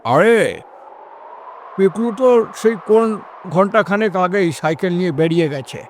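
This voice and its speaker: A man's voice: high voice, expressive, a little slow, slight background noise, pitched above the performer's own voice. A man voicing a dismissive old village uncle, exclaiming and brushing worries aside in a story. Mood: surprised, dismissive.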